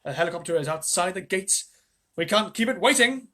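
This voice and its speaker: A man voicing an excitable, eccentric chocolate maker in a storybook reading, with brisk, sing-song exclamations. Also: fairly high pitch, breathy edge, full character voice. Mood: bustling.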